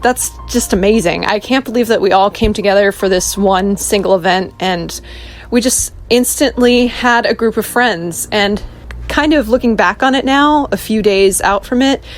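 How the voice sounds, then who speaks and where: A woman's voice: medium pitch, normal speed, soft background music, poor audio. A woman giving a casual, reflective testimonial.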